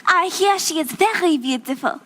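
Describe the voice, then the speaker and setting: A woman's voice: extremely high pitch, animated, moderate pace, fairly bright tone. A young actress playing a young woman putting on a clumsy fake French accent, flustered and hesitant. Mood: flustered pretending. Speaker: child actor in a musical.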